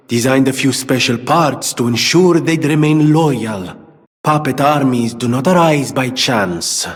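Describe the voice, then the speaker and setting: Eccentric male inventor, grandiose, florid, kind-hearted, unhurried, animated pitch, game character. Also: husky, big-room sound. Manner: theatrical, grandiose, florid phrasing. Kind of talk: video game character acting.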